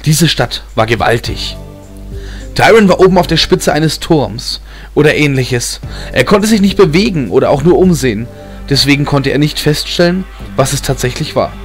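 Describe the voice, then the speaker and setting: A man's voice: on the high side, lively intonation, normal speed. A man reading scripted fantasy narration as an audiobook narrator. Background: background film music.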